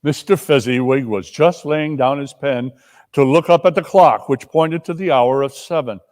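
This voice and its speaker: A man narrating a story as a dramatic reading, in a measured, theatrical manner. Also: medium pitch, a little mellow. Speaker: narrator and performer. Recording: long reverberant hall echo.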